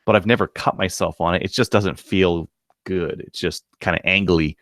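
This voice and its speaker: A man's voice: low voice, steady tone, normal speed, a bit bright, a little hiss or hum. A man co-hosting a podcast, chatting casually and jokingly in a laid-back way. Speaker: podcast co-host. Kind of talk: casual banter about shooting and gear.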